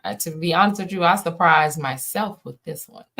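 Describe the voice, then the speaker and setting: A woman with a low voice talks casually in an interview as a playwright. She is emphatic and conversational, rambling a little as she explains. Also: moderate pace, a bit bright. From Washington, D.C. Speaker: playwright and director being interviewed.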